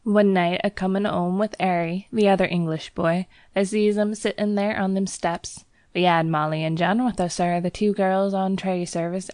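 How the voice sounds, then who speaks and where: A woman's voice: lowish voice, a little mellow, no room sound, clean studio sound, lightly acted. A woman doing a nervous Cockney bellboy. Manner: dropped h's, deferential, keeps saying sir. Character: young Cockney bellboy, former soldier, nervous.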